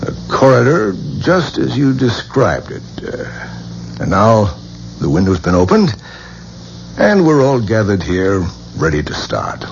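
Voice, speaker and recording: A commanding researcher of the occult in a 1970s radio drama. He questions deliberately in a fairly low, very animated voice, on a muffled recording. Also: vocal fry, a little hiss or hum, full character voice. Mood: brisk, assured. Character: commanding, probing male researcher running occult experiment.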